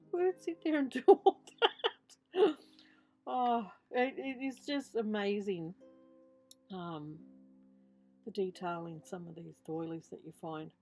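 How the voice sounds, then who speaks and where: A middle-aged Australian woman talks through her craft finds in an unscripted voiceover. Her voice sits at an average pitch for a woman, with a slight lisp. She rambles in a chatty way, trailing off and correcting herself as she goes. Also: a little mellow. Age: 50s. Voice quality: slight lisp from a missing tooth. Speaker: craft vlogger. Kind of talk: casual, rambling show-and-tell voiceover.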